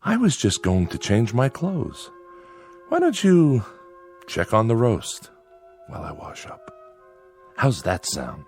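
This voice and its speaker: A man's voice: medium pitch, huge pitch swings, slow pace, vocal fry, long pauses, a little mellow, faint music behind, exaggerated voice. A man playing a nervous farmer father with an American accent in a radio drama, folksy, hesitant and far too agreeable. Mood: folksy unease.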